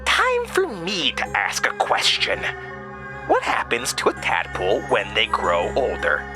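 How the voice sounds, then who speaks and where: A man's voice: medium pitch, wildly swooping pitch, unhurried, music in the background. A man voicing a monstrous, stretched female schoolteacher who taunts in a mock-sweet, schoolmarmish way in a horror audio drama. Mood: mock-sweet menace.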